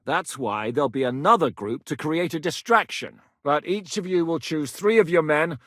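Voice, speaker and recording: An actor playing a battle-hardened warlord commander who gives blunt, commanding orders and swears freely in the fight, recorded in a dry studio. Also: male voice, on the high side, lively intonation, moderate pace, a bit bright, no echo. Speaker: military commander character. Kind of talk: video game voice lines.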